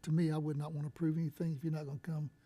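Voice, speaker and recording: A soft-voiced man chairs a public board meeting, moving between formal procedure and informal remarks into a meeting microphone. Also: on the high side, little pitch movement, very fast.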